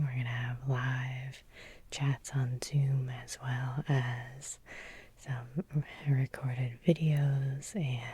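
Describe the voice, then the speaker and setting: Very slow, breathy whispering woman. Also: extremely low pitch, mellow. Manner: slow, soothing, repeating phrases with lingering pauses. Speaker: hypnotherapist and Reiki healer leading a guided meditation. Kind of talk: whispered ASMR guided hypnosis.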